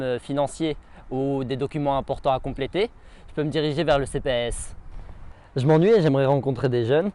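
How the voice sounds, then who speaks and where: A male presenter with a mellow, mid-pitched voice. He narrates a recap in the first person.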